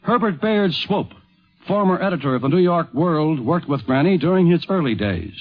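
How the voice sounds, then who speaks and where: A man's voice: a little high, animated, moderate pace, faint music behind. A man narrating a scripted radio documentary in a 1950s broadcast style. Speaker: narrator and host. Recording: dull vintage 1950s radio recording.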